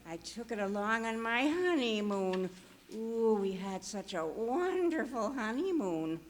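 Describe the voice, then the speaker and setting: A high female voice an actress plays a fond, scatterbrained landlady from a 1940s radio sitcom. She rambles slowly and dottily, with faint noise in the recording. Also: big pitch swings. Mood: fond, wistful. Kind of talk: 1940s radio comedy acting.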